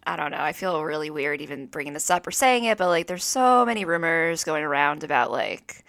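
A woman's voice: sits low, expressive, fairly quick, a bit bright, no room sound, studio quality. A woman co-hosting a casual podcast, bubbly and rambling with lots of "like.". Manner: bubbly, rambling, many "like"s and restarts. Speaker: podcast co-host. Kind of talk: casual chat.

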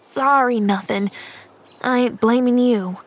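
A woman voicing a proud, sullen little boy in an audiobook, talking in a gruff, folksy, ungrammatical way. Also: fairly high pitch, unhurried. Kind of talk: audiobook character voice.

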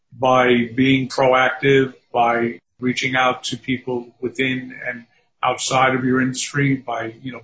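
An older man with a strong New York accent talks in an easy, chatty way, slipping in wry asides about himself. His mid-pitched voice comes through a somewhat muffled, lo-fi remote connection. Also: pushed and bright, a little hiss or hum. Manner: chatty, self-deprecating asides. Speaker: guest, recruiting firm founder. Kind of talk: podcast interview. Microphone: remote call. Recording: dull lo-fi remote audio.

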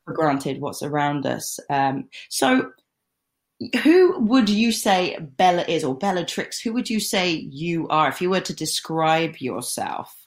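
A woman's voice: deep voice, expressive, a little slow. Gushing woman host in her thirties. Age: 30s. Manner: enthusiastic, gushing. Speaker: podcast host. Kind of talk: casual interview chat.

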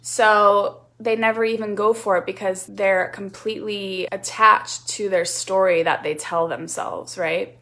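A woman in her thirties hosts her own podcast, talking earnestly and encouragingly to yoga teachers. Her voice sits at an ordinary pitch with a noticeable gravelly fry. She builds her points by stacking phrases in a row. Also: a bit bright. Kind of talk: reflective solo podcast talk.

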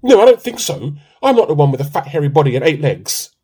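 Man playing a husky, melodramatic husband. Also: fairly high pitch, quick pace, exaggerated voice. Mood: panicked. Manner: pompous, excitable. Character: melodramatic, timid, comically earnest middle-aged husband. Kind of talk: amateur radio play dialogue.

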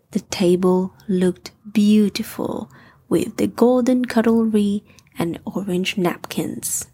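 A woman narrating a scripted bedtime story in a soft, breathy, gentle and expressive storybook manner. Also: mid-range voice.

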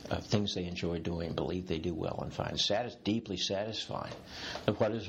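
A man's voice: sits low, gravelly, a little hiss or hum. A man in his sixties talking as a guest in an interview, reflective and rambling, with restarts along the way. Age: 60s. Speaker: executive coach, guest. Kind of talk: interview conversation.

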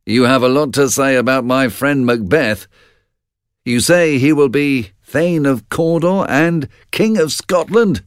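A man's voice: on the high side, very animated, unhurried, dry recording, studio quality, pitched above the performer's own voice. A man playing a bold curious lord. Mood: eager. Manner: eager, questioning.

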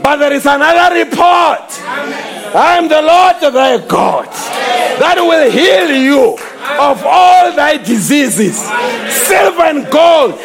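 A pastor preaching at full volume in a very high, gravelly voice. He hammers his points home and repeats his slogans. A loud congregation can be heard behind him. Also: lively intonation, takes it slowly, a crowd in the background. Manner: shouting, emphatic, repeats slogans. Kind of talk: fiery preaching sermon. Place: church service with congregation.

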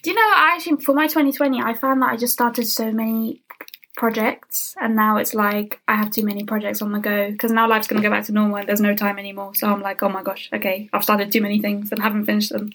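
A young woman at university co-hosting a casual podcast, candid and teasing, with quick asides. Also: mid-range voice, level tone, a bit bright. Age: young adult. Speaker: podcast co-host. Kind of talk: casual chat.

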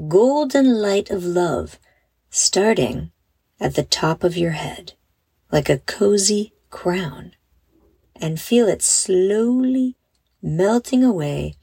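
A woman leading a guided meditation for children in a soft, breathy voice, with a soothing, gentle and encouraging manner. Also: fairly low pitch, no echo. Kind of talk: guided meditation, scripted narration.

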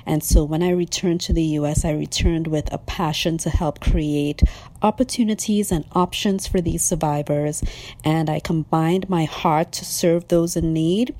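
A woman in her thirties from Trinidad and Tobago speaks as an interview guest. Her voice sits low and mellow. She answers in an earnest, measured way. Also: steady tone. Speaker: guest, business owner.

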